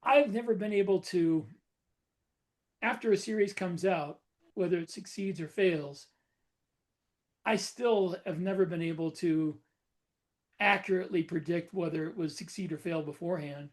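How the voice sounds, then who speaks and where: A man's voice: sits high, normal speed, pauses often. A man co-hosting a podcast as an author, talking casually and modestly, often restarting his sentences. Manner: self-deprecating, hesitant restarts.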